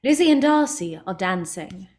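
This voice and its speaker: A woman's voice: medium pitch, big pitch swings, normal speed, a little mellow. A woman reading scripted stage directions as the narrator of a drama.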